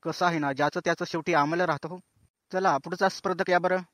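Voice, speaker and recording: A chatty cartoon show host, played by a man, speaks colloquial banter in a fairly high voice. The sound is very dry, and he teases contestants while addressing viewers like friends. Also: fairly even intonation, fast, fairly bright tone, no room sound, close to an everyday voice. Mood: breezy, bantering. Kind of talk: comic cartoon show presenting.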